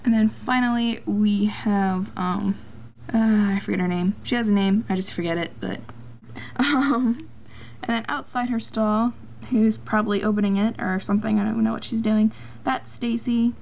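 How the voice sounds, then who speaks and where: A woman's voice: medium pitch, fairly even intonation, normal speed, creaky, a little mellow. A teenage girl casually narrating a tour of her toy horse barn, rambling with many ums and joking about being lazy. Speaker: model horse collector giving a tour. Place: indoors at a tabletop toy barn. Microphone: handheld camera mic. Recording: dull lo-fi camera audio with faint hiss.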